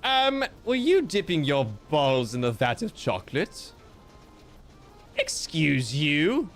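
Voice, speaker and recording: A man chatting on a livestream, joking and answering viewer comments with theatrical, mock-grand flair. His slightly high voice swoops up and down in lively bursts. Soft music plays faintly behind him. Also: slow pace. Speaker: streamer. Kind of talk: casual livestream chat reading viewer comments.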